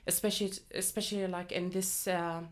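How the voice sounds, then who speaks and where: A woman's voice: lowish voice, level tone, a little fast. Young adult woman from Saudi Arabia, open and chatty podcast guest, studio mic. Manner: open, chatty, with frequent fillers and laughs. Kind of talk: casual interview chat. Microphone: studio microphone.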